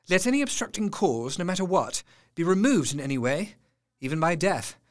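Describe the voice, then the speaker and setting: Victorian doctor, formal, thoughtful, highish, animated pitch. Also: male voice. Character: Dr Seward, earnest young Victorian doctor keeping a diary. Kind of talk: audio drama diary narration.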